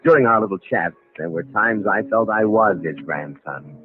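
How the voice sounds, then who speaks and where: An actor playing a smooth, calculating American impostor in a hard-boiled mid-century radio thriller, slangy and cool. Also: male voice, fairly low pitch, moderate pace, natural voice. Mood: cool, confiding. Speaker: actor and first-person narrator in a radio drama. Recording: tinny vintage radio recording, music behind.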